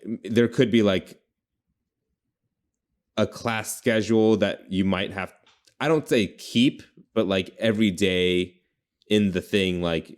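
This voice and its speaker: A man's voice: low voice, smooth. A man hosting a podcast, chatting casually and informally about video games in a rambling way. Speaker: podcast host.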